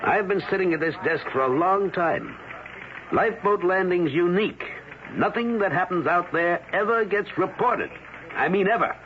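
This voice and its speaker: An actor playing an older, world-weary newspaper editor in an old-time radio drama, dismissive and full of cautious warnings. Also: male voice, high voice, animated, moderate pace. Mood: cautioning. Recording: tinny, faintly noisy old radio.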